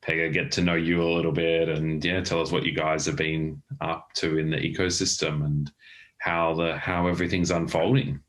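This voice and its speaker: A man's voice: very deep voice, level tone, moderate pace, fairly bright tone. A man hosting a casual interview over a video call, rambling and joking, often restarting his sentences. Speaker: host.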